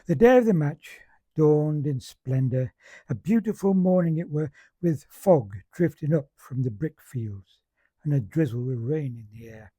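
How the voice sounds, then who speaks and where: A man reciting a comic verse monologue in measured, dialect-rich storytelling fashion. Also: a little high, dark tone, dry recording.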